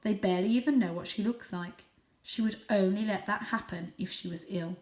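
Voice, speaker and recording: Lady doing expressive kids story narration, medium pitch, echoey room. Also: fairly quick, far from the mic. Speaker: storybook reader. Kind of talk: expressive children's story reading.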